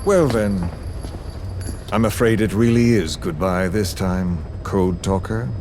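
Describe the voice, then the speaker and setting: Villain for a game audio drama: deep, deliberate, icy politeness with a mocking edge, noisy tape sound. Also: male voice. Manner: deliberate, sardonic, coolly formal. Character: cold, menacing, courteous middle-aged male villain mastermind. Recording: clearly noisy audio-tape style recording.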